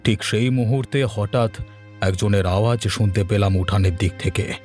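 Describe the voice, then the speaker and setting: A man's voice: fairly low pitch, moderate pace, darkish tone, soft background music, natural voice. A man voicing a doting, fearful village father in a cartoon, speaking in a tender, uneasy way. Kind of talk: cartoon voice acting.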